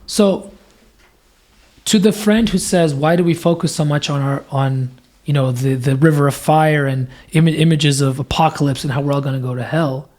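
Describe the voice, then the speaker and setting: Scratchy-voiced deacon, earnest and thoughtful. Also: male voice, a little high, steady tone, normal speed, darkish tone. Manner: earnest, builds ideas with repetition. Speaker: deacon guest. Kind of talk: thoughtful conversational explanation.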